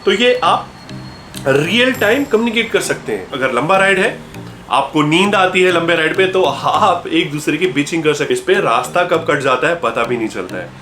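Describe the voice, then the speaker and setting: A man's voice: on the high side, animated, normal speed, bright, forceful tone, soft background music. An Indian man giving a casual product explainer as a video host, conversational and explanatory, checking in with questions and mixing in English phrases. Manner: mixes English words and phrases into speech. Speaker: video host and gear store seller.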